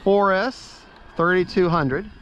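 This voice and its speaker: A man's voice: sits high, slow pace. A man giving casual, rambling, folksy running commentary as he flies a model plane outdoors, repeating himself as he goes. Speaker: RC plane pilot and vlogger. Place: outdoors at an open model airfield. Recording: muffled outdoor recording, loud wind noise.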